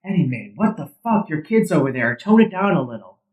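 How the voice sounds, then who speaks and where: An actor playing a placating, casually profane softball teammate in an audio drama. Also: male voice, fairly high pitch, sing-song, fairly quick, narrow, tinny audio. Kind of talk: naturalistic audio drama acting. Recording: roomy, echoing sound.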